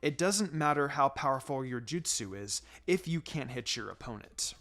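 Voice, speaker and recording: A man voicing a calm, wry ninja teacher in a story reading, measured, firm but encouraging. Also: mid-range voice, voice pushed down. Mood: instructive, wry. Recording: music behind the voice.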